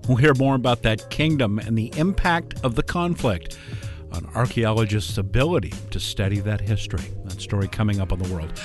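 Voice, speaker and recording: A man working as a radio announcer, reading a news promo and weather report in a crisp, brisk way. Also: on the low side, music in the background.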